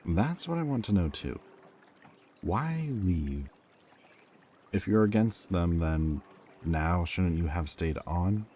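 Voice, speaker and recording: Casting: man as wry ex-villain friend, bottom-of-range dark voice, conversational and earnest, some noise behind. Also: low voice, animated, takes it slowly, pauses often. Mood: wry, questioning. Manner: casual, teasing, earnest. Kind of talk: roleplay audio drama acting, conversational.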